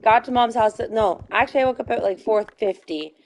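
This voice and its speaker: A woman in her thirties, a streamer with a slightly hoarse voice, telling a rambling story while gaming, correcting herself and laughing often. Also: fairly high pitch, fairly quick, slight background noise.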